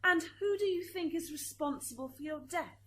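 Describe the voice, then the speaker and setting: A woman's voice: very high-pitched, normal speed, pushed and bright. A woman acting a stern prosecuting lawyer with formal, pointed questions in an amateur radio drama. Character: stern, leading prosecuting lawyer in afterlife court. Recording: hissy amateur recording, background noise.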